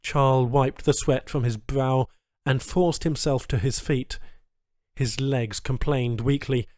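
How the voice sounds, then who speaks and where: A male narrator reads science fiction with slow, deliberate pacing. His pitch is slightly low and his delivery is measured, dramatic and suspenseful. The recording is dry and close, with no room echo. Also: fairly even intonation, a little mellow. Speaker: audiobook narrator.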